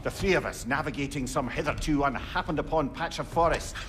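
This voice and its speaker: An actor playing a weary, talkative old sage in a video game, with a wordy, droll manner. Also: male voice, on the high side, fairly quick, a bit bright, slight background noise, close to an everyday voice. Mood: wry, tired.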